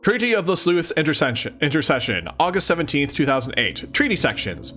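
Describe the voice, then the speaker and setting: Male streamer, higher-leaning and very animated, mock-solemn when reading, offhand in asides. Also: brisk, soft background music. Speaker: streamer reading a webcomic aloud for the first time. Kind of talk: live let's-read with chat asides and dramatic reading.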